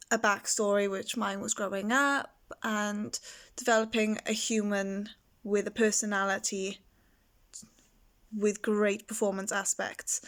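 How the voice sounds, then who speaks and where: A young woman, a music student, reviewing her year of singing studies in a reflective, hesitant way with frequent ums. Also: mid-range voice. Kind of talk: spoken course review.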